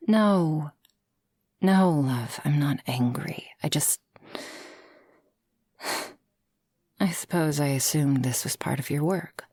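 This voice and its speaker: A guarded yet tender shark girl of an ASMR roleplay, acted by a woman. Her voice is breathy and on the low side, very dry and close to the listener. She speaks softly and intimately, letting out sighs. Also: no room sound, heavily acted. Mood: tender, weary. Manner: soft, breathy, intimate, often sighing. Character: guarded, loving shark girl, former freak show performer.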